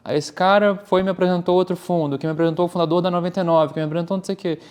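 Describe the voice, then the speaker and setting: A man's voice: high voice, rapid-fire, clean tone. A Brazilian man, a startup founder talking candidly and energetically as an interview guest. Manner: candid, animated, uses "cara" often. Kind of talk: casual interview talk.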